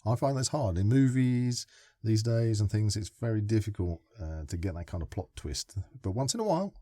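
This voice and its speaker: An older man co-hosting a podcast, chatting and teasing his guest in a lively way. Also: on the low side, normal speed, dry recording, clean studio sound. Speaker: podcast co-host. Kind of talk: casual interview chat.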